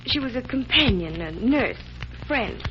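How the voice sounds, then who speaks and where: Through the dull band of a vintage radio recording, an actress plays an anxious young woman with a high voice. She is earnest, pleading and dramatic, in the manner of a 1940s mystery. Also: animated, normal speed, natural voice. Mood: subdued, earnest. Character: frightened, pleading young heiress, grieving and insistent.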